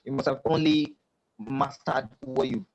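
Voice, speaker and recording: A man with a Nigerian accent speaking as a poet on a panel, explaining at a measured pace with many fillers and restarts. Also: mid-range voice, steady tone, vocal fry, dull audio. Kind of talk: panel discussion talk. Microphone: video call.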